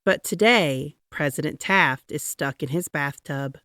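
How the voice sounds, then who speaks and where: A woman narrating a children's picture book aloud in a dramatic, deliberate, expressive way. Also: sits low, no echo, studio quality. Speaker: storybook reader.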